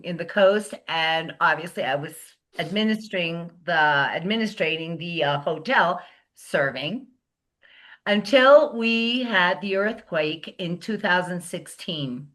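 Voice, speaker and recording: Middle-aged woman guest, slow and halting delivery, bright tone, lots of fillers and restarts. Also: on the low side. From United States. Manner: slow, searching for words, many fillers and restarts. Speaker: interview guest. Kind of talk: casual interview talk.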